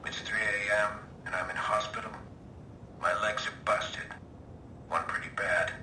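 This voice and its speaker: An actor playing a bitter, scheming veteran hacker, with a breathy voice and deliberate, brooding, disdainful delivery in a video game audio log. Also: male voice, lowish voice, steady tone, echoey room, background noise, close to an everyday voice. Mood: shaken, suspicious.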